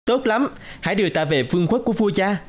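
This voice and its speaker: Earnest brave young prince. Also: male voice, high voice, expressive, quick pace, noisy background. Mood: resolute.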